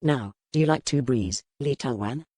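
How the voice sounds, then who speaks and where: A man's voice: mid-range voice, normal speed, a little mellow, slightly rough, no echo, lightly acted. Man acting a suave French colonial merchant. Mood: coolly commanding. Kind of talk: dramatised audiobook dialogue.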